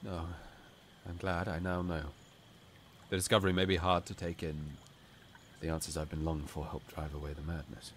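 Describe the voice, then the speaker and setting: Man voicing a weary, noble deep-voiced king. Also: level tone, slight background noise. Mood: solemn, resigned. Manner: formal, measured, grave. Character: noble, weary warrior king, solemn and gracious. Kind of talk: audiobook character dialogue.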